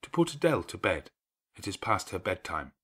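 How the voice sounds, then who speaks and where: A man's voice: sits low, sing-song, fairly bright tone, slightly rough, exaggerated voice. Man reading as a grave, restrained young governess. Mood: reserved, guarded. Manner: measured, restrained, formal. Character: grave, composed, principled young English governess. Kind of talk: dramatized classic novel audiobook reading.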